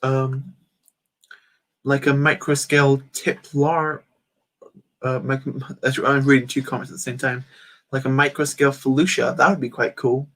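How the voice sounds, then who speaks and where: A man's voice: medium pitch, level tone, normal speed, pauses often, a bit bright. A man hosting a casual livestream, rambling and answering chat comments while he builds. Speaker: livestream host.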